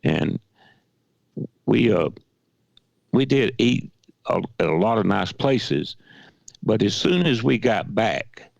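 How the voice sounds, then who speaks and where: A hoarse man with a Southern accent and a gravelly, weakened voice, chatting in a folksy, halting way as a radio co-host. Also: fairly low pitch, very animated, dry recording, muffled recording. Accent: Southern American. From Georgia. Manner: folksy, halting with many restarts. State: hoarse, weak voice. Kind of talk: casual radio chat.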